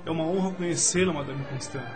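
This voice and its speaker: A romantic young gentleman played by a man speaks formally in a rather high voice, with music clearly behind. His Brazilian-accented delivery is earnest and inquisitive, fit for a period radio play. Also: fast talker, lightly acted. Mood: courteous. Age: young adult. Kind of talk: radio drama acting in a period story.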